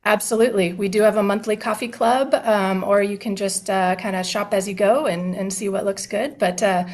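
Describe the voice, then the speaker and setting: A woman's voice: medium pitch, fairly even intonation, moderate pace. Upbeat woman guest with many fillers. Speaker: coffee company guest. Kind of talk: interview answers.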